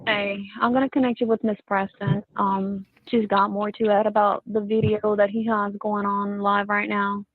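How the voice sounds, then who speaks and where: A woman's voice: medium pitch, level tone, normal speed, hardly any pauses, dry recording, faint music behind. A woman working as a sheriff's dispatcher, routine and official as she handles a phone line. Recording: tinny phone line with noise.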